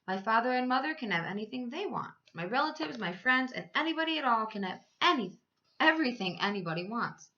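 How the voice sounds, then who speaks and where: A woman's voice: fairly high pitch, animated, a little fast, sharp tone, dull audio, voice pushed up. A woman voicing a young boy donkey in a storybook, earnest and wishful in manner. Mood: excited, delighted.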